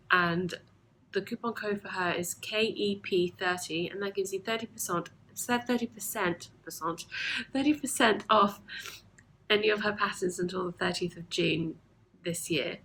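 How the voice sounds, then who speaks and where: A woman's voice: lowish voice, slight background noise, poor audio. A woman hosting a knitting video podcast from her home, chatting casually with lots of ums, slightly sniffly from allergies. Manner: chatty, with frequent ums and soft asides. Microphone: camera mic.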